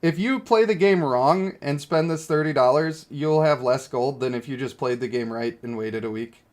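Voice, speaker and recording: A man's voice: mid-range voice, normal speed. A man giving casual, opinionated livestream commentary on a mobile game, blunt and given to exasperated ranting. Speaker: game streamer.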